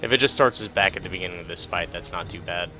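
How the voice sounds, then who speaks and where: Low-pitched man doing gameplay commentary over music. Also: fast talker, sharp tone. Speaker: let's-play host.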